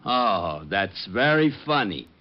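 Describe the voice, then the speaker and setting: A man playing a slow-witted, surly police sergeant in a comic old radio drama style. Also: fairly low pitch, very animated. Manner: surly, dim, slow on the uptake. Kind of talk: 1940s-50s comic radio acting. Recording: dull vintage radio recording.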